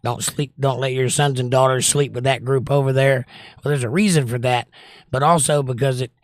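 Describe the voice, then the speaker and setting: A slightly hoarse middle-aged man hosting a livestream, chatting casually and teasing his viewers as he reads their comments. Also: mid-range voice, steady tone, brisk, dry recording, studio quality. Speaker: livestream host. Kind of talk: casual chat reading viewer comments aloud.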